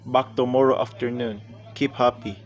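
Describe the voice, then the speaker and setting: An apologetic husband, voiced by a man in a suspense radio play. He has a mid-pitched voice. He recites in measured, clipped phrases. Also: steady tone, close to an everyday voice. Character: apologetic husband's voice reading his telegram message. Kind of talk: suspense radio drama acting.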